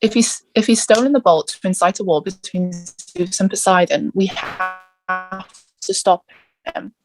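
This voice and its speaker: A woman playing a grave, regretful old male mentor in a theatre table read, speaking in a measured, apologetic way. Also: fairly low pitch, faint music behind, natural voice, rough recording. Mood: grave, urgent.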